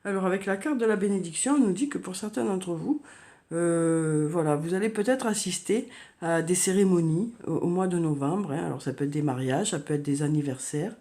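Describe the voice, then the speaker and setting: A woman with a cold giving a tarot reading, talking softly and hesitantly with many fillers and repeated words. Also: on the low side, brisk. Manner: soft, hesitant, frequent "hein" and "euh", repeats words. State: has a cold, coughing. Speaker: tarot card reader. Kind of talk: monthly horoscope card reading.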